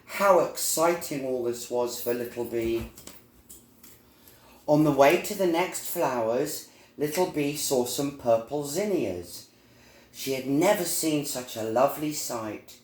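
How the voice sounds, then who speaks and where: A man narrating a children's storybook in a deliberate, expressive storytelling manner, recorded in an echoey room. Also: medium pitch, a little hiss or hum. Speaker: storyteller reading a children's book.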